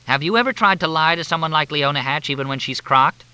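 A man voicing a wry, unflappable young male reporter with a dry, deadpan delivery. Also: a little high, quick pace, smooth, no room sound, pitched above the performer's own voice.

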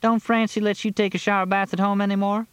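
A man's voice: sits high, little pitch movement, fast, clean tone, no room sound, voice pushed up, lightly acted. A man voicing a teasing, outspoken country woman with a wry drawl in a story reading.

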